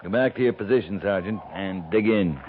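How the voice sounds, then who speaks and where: An American actor playing a stoic, commanding wounded cavalry captain in a 1950s radio western, clipped and dryly understated. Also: male voice, on the low side, a little fast, natural voice. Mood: dry, weary. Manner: clipped, authoritative, dry understatement. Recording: muffled narrow-band old radio recording, hissy.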